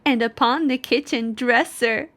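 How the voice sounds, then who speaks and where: A woman voicing a chorus of tiny, cheeky mice singing nursery rhymes in high, squeaky singsong. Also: a little slow, a bit bright. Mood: gleeful singing.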